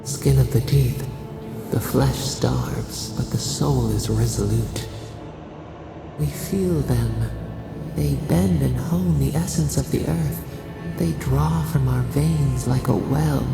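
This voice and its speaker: An ancient spirit whispering riddles inside someone's head in a fantasy game. The voice is heavily creaky and drags out each solemn fragment at a crawl. It hangs in a long, ghostly reverb. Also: medium pitch. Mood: cryptic, brooding. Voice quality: creaky, gravelly. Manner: solemn, cryptic, halting fragments. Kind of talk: video game acting. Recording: heavy reverb under music. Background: music.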